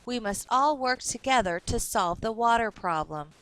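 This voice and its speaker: A woman's voice: on the high side, lively intonation, normal speed, a bit bright, no room sound. A woman reading the part of a kindly, earnest grandfather in his seventies from a storybook. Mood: earnest, caring. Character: kindly seventy-year-old grandfather writing from Kenya.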